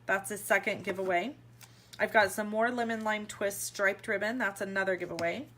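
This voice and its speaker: Chatty woman, a bit raspy. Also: medium pitch, fairly even intonation, normal speed, a bit bright, slight background noise. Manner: chatty, many ums, gushing. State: slightly raspy from allergies. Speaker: stamping demonstrator. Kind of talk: casual craft video chat. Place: indoor craft table. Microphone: overhead camera on hands, speaker off-screen.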